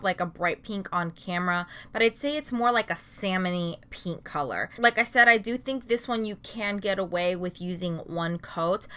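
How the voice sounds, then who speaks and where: A young woman hosts her beauty channel in a chatty, upbeat way, talking through one item after another. Her voice is bright and forward, and her pitch rises and dips as she talks. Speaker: beauty vlogger. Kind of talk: casual product review.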